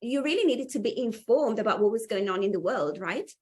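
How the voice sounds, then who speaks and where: A woman's voice: on the high side, animated, a little fast, mellow. A woman whose first language is Italian, hosting a casual interview chat in an enthusiastic, friendly way with hesitant fillers. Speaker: podcast host.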